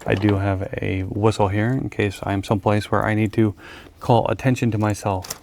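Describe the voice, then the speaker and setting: A man hosting a gear review video, talking casually through a product in a measured, plain-spoken, explanatory way. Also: on the low side, little pitch movement, creaky, darkish tone, a little hiss or hum. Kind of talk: casual product walkthrough to camera.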